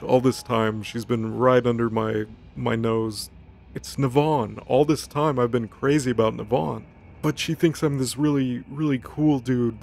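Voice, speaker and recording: Male comedic storyteller, mid-pitched and gravelly with fry, playful casual recap, music bed. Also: darkish tone, a little hiss or hum.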